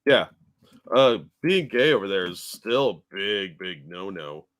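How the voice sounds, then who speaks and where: A man's voice: fairly low pitch, animated, a little slow, a bit bright. A man co-hosting a casual commentary show, laid-back and casually profane, answering viewers' chat messages. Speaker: co-host.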